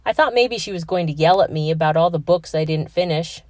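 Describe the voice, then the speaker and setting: A woman reading a children's picture book aloud with expressive storytelling. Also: lowish voice, a little fast, no room sound, clean studio sound.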